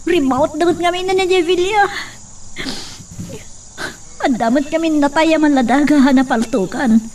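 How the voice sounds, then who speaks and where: A woman playing a gentle, worried daughter, soothing and concerned, in an Ilocano radio drama, with an Ilocano accent. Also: high voice, close to an everyday voice. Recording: distant mic, faint noise behind.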